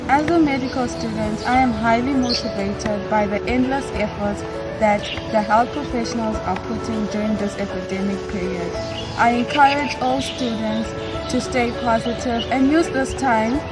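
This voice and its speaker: A woman's voice: on the high side, moderate pace. A woman medical student from Zimbabwe speaking in an upbeat, encouraging way. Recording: loud music behind.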